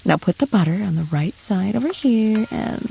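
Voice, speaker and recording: A woman playing a possessive, doting yandere wife in an intimate ASMR roleplay, breathy and often slipping into a whisper as she coaxes and instructs. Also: medium pitch, expressive, moderate pace, a little hiss or hum, rough recording. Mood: cheerful, tutoring. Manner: coaxing, doting, softly instructive. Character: possessive, doting yandere wife training her captive husband.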